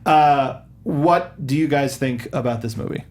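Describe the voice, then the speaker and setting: A man's voice: mid-range voice, a little slow, darkish tone. A man hosting a casual film discussion panel, chatty and given to acting out little asides. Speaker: podcast host. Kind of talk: casual panel chat about films.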